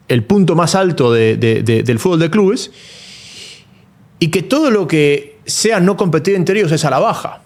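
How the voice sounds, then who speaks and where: Higher-voiced man from Ecuador. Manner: thoughtful, hesitant restarts. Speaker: co-host. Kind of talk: casual football discussion.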